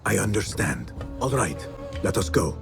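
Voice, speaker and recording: A calm, formal assassin. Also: male voice, fairly low pitch, measured pace, gravelly, heavily acted. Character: male assassin hero, young adult, resolute. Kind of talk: video game cutscene acting. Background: game music score.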